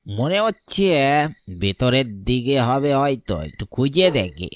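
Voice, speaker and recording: A man's voice: mid-range voice, big pitch swings, measured pace, a little hiss or hum. A man playing a jittery village man in a Bengali horror cartoon, muttering and exclaiming to himself. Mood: fretful, puzzled. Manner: muttering to himself, exclaiming, stammering when scared. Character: frightened village man, Arun, haunted by dead friend. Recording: background music under the voice.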